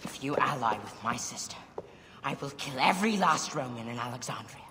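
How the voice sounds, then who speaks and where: A man's voice: medium pitch, lively intonation, brisk, bright, forceful tone, long reverb tail, a little hiss or hum. An actor playing a petulant young king who makes spiteful threats.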